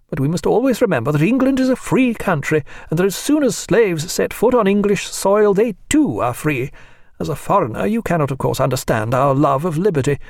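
A shrill, haughty older lady scolds in a high, lively voice that swoops up and down, as a man puts her on. She sounds cross and superior, and her lines land hard, in a dry, close audiobook reading. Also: no echo. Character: snobbish older gentlewoman, sharp and indignant.

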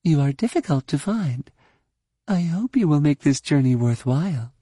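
A man acts out an imperious noblewoman in a science fiction audiobook. The voice is husky and mellow, raised above his usual talk, with regal, formal phrasing. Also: on the high side, lively intonation, moderate pace, dry recording, clean studio sound, voice pushed up. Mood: haughty. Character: haughty noblewoman, imperious and commanding, in disguise.

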